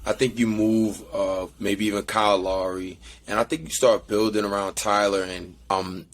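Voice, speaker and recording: A man with a hoarse, raspy voice from yelling, co-hosting a casual sports chat in a laid-back, slangy, rambling way. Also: lowish voice, level tone, normal speed. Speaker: podcast co-host. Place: away from home, remote call.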